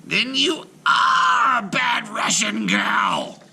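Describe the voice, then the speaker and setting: A menacing old witch from a folktale, acted out by a man, pitched high with wild swoops and a sharp edge. She commands in long drawn words, dropping to harsh breathy whispers and rising to shrieks. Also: wildly swooping pitch, drawn-out words, faint music behind, heavily acted. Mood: scolding, menacing.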